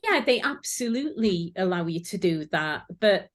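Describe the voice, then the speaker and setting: A woman's voice: medium pitch, animated, normal speed. A woman whose first language is Welsh, chatting casually as a guest and telling stories with many fillers. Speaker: guest, former sewing contestant. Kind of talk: casual chat.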